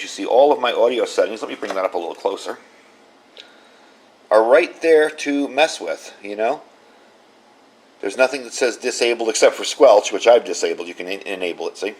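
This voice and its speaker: Middle-aged male reviewer, mid-pitched, casual and explanatory, leans on repetition for emphasis in hands-on demos. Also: low-quality recording. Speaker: video host reviewing radio gear. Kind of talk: casual product demo commentary.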